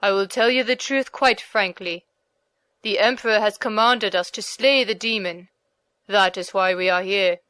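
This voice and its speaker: Audiobook role: noble samurai leader read by a woman, lowered pitch, earnest and commanding, dry recording. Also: steady tone, normal speed, sharp tone, clear, resonant tone, no echo, natural voice. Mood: frank, resolute. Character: brave, noble male warrior knight leader. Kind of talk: audiobook character reading.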